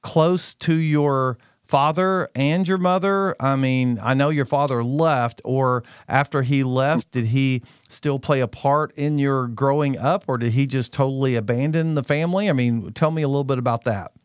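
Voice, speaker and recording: A man works as the interviewer, putting questions in a conversational style. His pitch is average for a man, and the sound is very dry, with no room echo. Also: normal speed, few pauses, smooth. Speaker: interviewer, podcast host.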